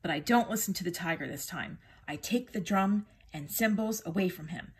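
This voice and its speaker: A woman reading a children's picture book aloud in an expressive teacher's manner, drawing out the sound words. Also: fairly low pitch, normal speed, breathy edge. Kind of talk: animated children's storybook reading.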